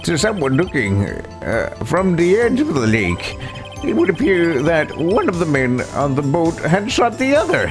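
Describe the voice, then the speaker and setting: A man's voice: a little high, huge pitch swings, a little slow, full character voice. A man voicing an elderly judge in a courtroom video game, stern, pompous and easily flustered, with a ponderous, stammering, formal delivery. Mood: deliberate, inquiring. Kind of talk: live voiced playthrough of a courtroom video game. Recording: game music under the voice.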